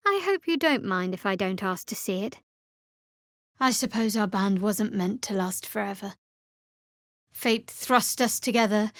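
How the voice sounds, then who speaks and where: A young female cleric sworn to a dark goddess in a fantasy video game. Her voice sits a little high and is captured very dry and close. She is guarded and sardonic, with a measured, dramatic delivery. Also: no echo.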